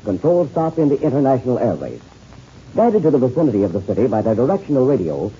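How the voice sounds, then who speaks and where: A man's voice: a little high, dark tone. A man narrating a 1930s radio serial as its announcer, with dramatic delivery. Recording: muffled, noisy old radio recording.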